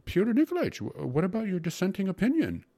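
Guy doing a fussy food-obsessed clerk for a story, higher voice, husky n breathy, savors every word, stammers a bit. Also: very animated, normal speed, warm tone, no echo, studio quality, full character voice. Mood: dismayed. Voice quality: breathy, often dropping to a whisper. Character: fussy, gluttonous male court secretary, rapturous about food.

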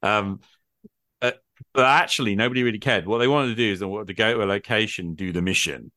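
A man's voice: on the low side, fast talker, pushed and bright, no room sound. A man in a casual, reflective conversation, musing hesitantly and posing rhetorical questions as he goes. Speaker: podcast speaker, tabletop gamer.

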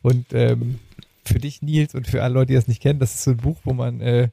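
Fast-talking man with a gravelly, creaky voice. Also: medium pitch, flat delivery, mellow, no room sound. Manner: joking, playful. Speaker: podcast co-host. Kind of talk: casual podcast banter.

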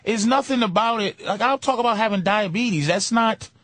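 A man's voice: high voice, moderate pace, fairly bright tone, no echo. A man hosting a comedy podcast, talking in casual, profane chat and acting out quotes in other voices.

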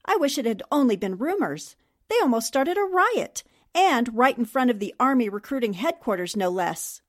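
A woman's voice: a little high, expressive, normal speed. An actress playing a clever, earnest young woman newspaper columnist in 1940s radio drama style.

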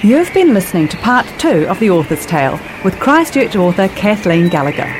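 A woman's voice: medium pitch, very animated, fairly quick, noisy background. A woman reading a scripted podcast outro as its presenter. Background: music.

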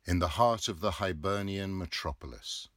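A man in his fifties narrating a literary novel with measured, deliberate phrasing. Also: low-pitched, fairly bright tone, no room sound, studio quality. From England. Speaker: audiobook reader.

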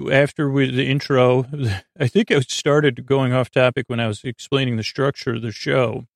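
A man hosting a bedtime podcast in a halting, meandering monologue that drifts off into tangents, with a creaky, gravelly voice. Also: mid-range voice, dry recording, studio quality. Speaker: podcast host. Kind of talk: rambling, meandering bedtime monologue meant to lull listeners to sleep.

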